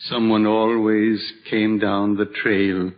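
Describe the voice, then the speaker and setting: Gentle old hermit, muffled recording. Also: male voice, fairly low pitch, little pitch movement, slow pace. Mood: serene, solemn. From Switzerland (the figure). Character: gentle old hermit, a kindly, serene widower. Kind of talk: old-time radio drama acting. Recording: dull vintage radio recording.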